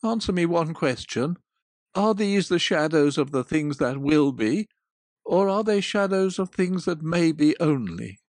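A woman voicing a frightened old miser who pleads loudly and urgently, in a dry, close recording. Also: deep voice, animated, a little slow, no room sound. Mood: pleading. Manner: loud, urgent, beseeching.

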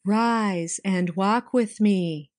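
A woman reading a story, voicing a gentle, solemn spirit in a slow, measured way. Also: mid-range voice, no echo, studio quality.

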